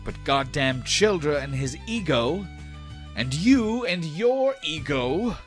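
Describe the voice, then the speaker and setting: A man voicing an alarmed, indignant male warhammer fighter in a tabletop game, loud and exclaiming. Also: on the high side, big pitch swings, slow, faint music behind, voice pushed up.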